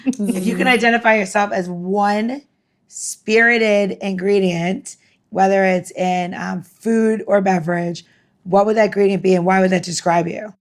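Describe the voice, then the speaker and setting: A woman's voice: medium pitch, fairly even intonation, a little slow. Woman podcast co-host, rambling casual chat, lots of fillers, giggly.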